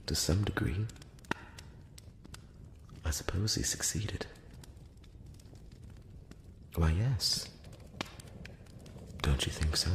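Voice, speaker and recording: A solemn elvish emissary, played by a man, whispers in an extremely low voice, close to the mic. His delivery is slow, formal and probing, with deliberate pauses. The room echoes around him over a faint hiss, in the style of a fantasy ASMR roleplay. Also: heavily acted. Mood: musing, solemn. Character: elf emissary, male, solemn, measured and probing. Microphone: close-up microphone.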